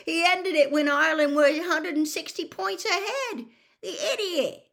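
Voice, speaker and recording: A woman voicing an excitable, indignant teenage boy sports fan in a storybook, yelling bluntly. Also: very high voice, normal speed, vocal fry, voice pushed up, full character voice. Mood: outraged.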